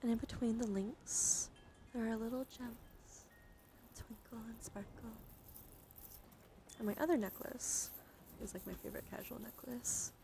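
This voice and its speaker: A woman livestreaming ASMR, chatting softly and soothingly to her viewers with affection, drifting between whispers and gentle speech. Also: fairly high pitch, steady tone, measured pace, pauses often, slight background noise. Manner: affectionate toward listeners. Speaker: live streamer hosting ASMR. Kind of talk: ASMR, soft intimate chat with live viewers.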